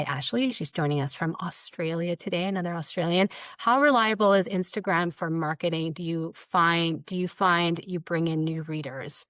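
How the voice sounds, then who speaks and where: Lower-voiced chatty woman moderator. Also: fairly bright tone, no room sound, studio quality. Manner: chatty, uses many "like" and "you know" fillers. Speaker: panel moderator. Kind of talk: panel discussion.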